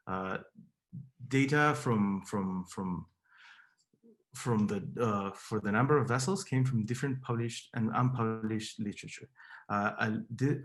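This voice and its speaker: Hesitant Peruvian man giving a seminar. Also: lowish voice. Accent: Peruvian Spanish. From Peru. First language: Spanish. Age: young adult. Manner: hesitant, many fillers and repeated words. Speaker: PhD candidate giving a seminar. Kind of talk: academic seminar talk.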